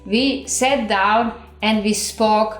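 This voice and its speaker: A woman's voice: medium pitch, fairly even intonation, takes it slowly, echoey room, faint music behind. A woman with a Croatian accent, a native Croatian speaker, giving earnest, encouraging relationship advice as a co-host. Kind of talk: casual advice talk.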